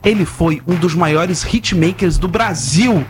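A Brazilian man in his thirties hosting a lively radio show with playful, theatrical announcing. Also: high voice, takes it slowly, noisy background. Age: 30s. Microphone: studio broadcast mic. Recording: music playing under the voice.

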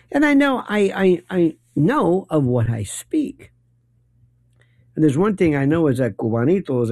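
Gravelly, rambling late-night radio host. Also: male voice, high voice, no room sound. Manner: rambling, opinionated, animated asides. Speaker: radio talk show host. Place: radio studio.

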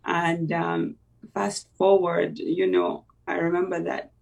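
Gravelly voice, halting. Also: mid-range voice, level tone, a little mellow, far from the mic, lo-fi sound. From Uganda. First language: Luganda. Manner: reflective, halting, with many fillers and restarts. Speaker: interview guest. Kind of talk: personal faith testimony in conversation.

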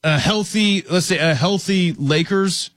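Easygoing man reading chat, slightly high. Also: measured pace, no echo, clean studio sound. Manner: relaxed, easygoing, reads chat questions aloud. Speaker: co-host reading viewer comments. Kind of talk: casual sports chat.

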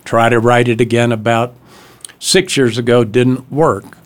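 A man's voice: medium pitch, steady tone, measured pace. An older man, an author, gives a radio interview in a studio, telling stories in a chatty way with hesitations. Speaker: author and guest.

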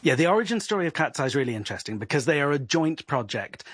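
A man, a guest reporter, explaining at length in a steady, informed way on a podcast. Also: a little high, fast talker, slightly rough, dry recording.

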